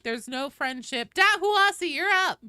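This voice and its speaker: A woman's voice: very high-pitched, animated, unhurried, pushed and bright, no room sound, studio quality. A woman chatting casually and expressively with viewers as she hosts a gaming livestream. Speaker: game streamer and host.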